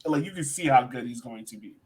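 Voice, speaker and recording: A man's voice: medium pitch, animated, quick pace, darkish tone. An American man hosting a sports podcast, talking casually and with enthusiasm as he breaks down soccer. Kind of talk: casual soccer analysis chat.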